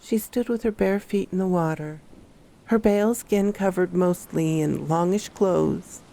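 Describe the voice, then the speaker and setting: A woman playing a troubled female park ranger who recounts her horror story in a measured, confiding first-person narration. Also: lowish voice, creaky, warm tone, poor audio. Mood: wary, recounting.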